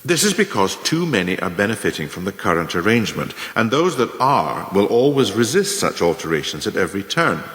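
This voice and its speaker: An actor playing a scheming, pompous senior manager, delivering a deliberate, oratorical radio play monologue. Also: male voice, on the low side, big pitch swings, a bit bright. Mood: smugly instructive. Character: scheming pompous senior manager lecturing on ruthless career advancement. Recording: echoey hall with long reverb.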